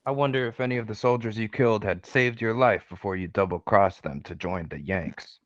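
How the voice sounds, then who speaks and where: A man's voice: lowish voice, brisk, no room sound, muffled recording, close to an everyday voice. A man acting a belligerent Old West saloon drunk with a goading, folksy manner. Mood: accusing.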